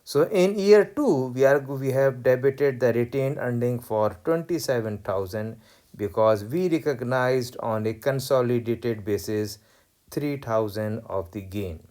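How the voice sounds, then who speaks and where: A man lecturing on accounting, explaining worked exercises step by step in a deliberate way and repeating key points. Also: mid-range voice, darkish tone. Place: voice-over recorded over tablet screen.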